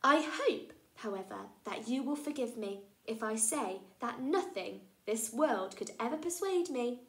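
A woman's voice: a little high, lively intonation, measured pace. A woman doing a grandly polite little mouse. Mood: courteous. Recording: echoey room.

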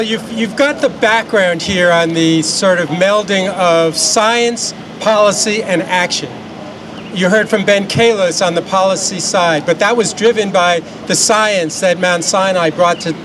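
A man in his sixties speaks in a measured, explanatory way at an outdoor podium. He stands slightly away from the microphone, so his voice sounds a little distant. Heavy city traffic noise fills the background. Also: high-pitched. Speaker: invited speaker. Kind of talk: speech at an outdoor public event. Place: outdoor park lawn by a city building. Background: city traffic and street ambience.

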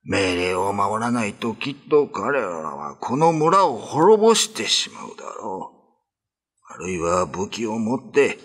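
An actor playing an old, reverent village elder in a radio drama, husky and deliberate, deferential in manner. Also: male voice, on the low side, big pitch swings, fairly bright tone, full character voice. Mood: grave, resigned.

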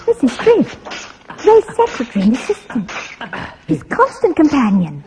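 A woman's voice: high-pitched, wildly swooping pitch, moderate pace, warm tone, faint music behind, full character voice. An actress playing a young woman who lives at a school in an audio drama. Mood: soft. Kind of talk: read-along audio drama acting.

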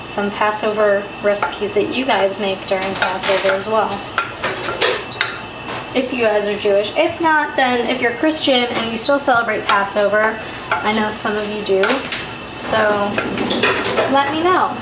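A woman's voice: medium pitch, a little slow. A teenage girl casually walking through a recipe step by step in her home kitchen, with plenty of fillers. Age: teens. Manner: chatty, explaining step by step, lots of fillers. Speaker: vlogger cooking at home. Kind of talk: casual cooking demo. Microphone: phone camera, handheld at times. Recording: echoey kitchen, loud background noise.

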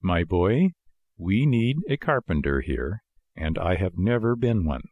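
A man voicing a calm female snail in a storybook, drawing out words in a peaceful, unhelpful way. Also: deep voice, a little slow, no echo, phone-quality sound, heavily acted. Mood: blandly amused. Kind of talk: storybook character voice.

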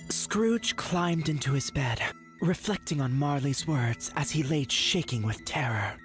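Calm storybook narrator. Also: medium pitch, unhurried, soft background music.

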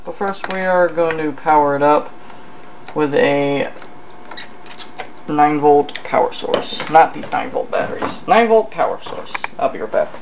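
A person thinking aloud in a halting mutter during a casual hands-on electronics demonstration at an indoor workbench. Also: mid-range voice, expressive, vocal fry. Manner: halting, muttering to self while working. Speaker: hobbyist host of an electronics repair video. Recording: noisy camcorder audio with room ambience.

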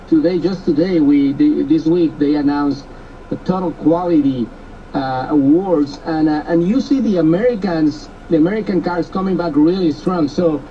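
A man's voice: a little high, a little hiss or hum. A man hosting a radio interview, asking long rambling questions with repeated words. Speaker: radio host. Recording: distant, dull radio audio.

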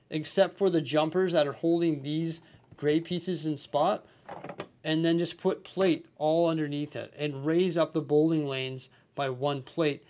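A man's voice: a little high, darkish tone. A young man reviewing a building set, enthusiastic but nitpicky, explaining details as he handles the pieces. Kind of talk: hands-on product review.

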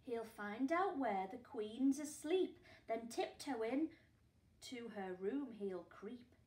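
Woman, teacher reading picture book to kids, hushed asides, slightly high voice, slow, roomy echo. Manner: expressive read-aloud, gasps and hushed asides to young listeners. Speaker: primary school teacher. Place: living room at home, indoors. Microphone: camera a few feet away.

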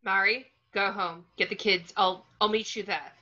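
A woman playing a blunt, hurried young male wizard in a script read, speaking urgently over a video call. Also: medium pitch, fairly even intonation, sharp tone, lo-fi sound.

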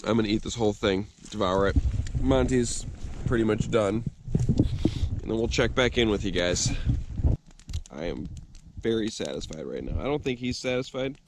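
A man's voice: on the low side, normal speed, pauses often. Chill young guy vlogging by a campfire in the snow, easygoing chatty voice, some faint background noise. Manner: relaxed, chatty, talks to his dog. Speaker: outdoor vlogger. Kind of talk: casual camp vlog narration. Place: outdoors at a campfire in snow at night, then inside a snow shelter.

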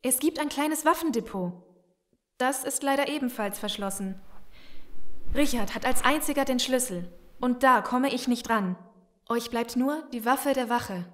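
A desperate young woman in a zombie radio play. Her voice is high, and she speaks with earnest urgency as someone who betrayed others and now wants out. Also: unhurried. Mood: determined. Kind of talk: German audio drama acting.

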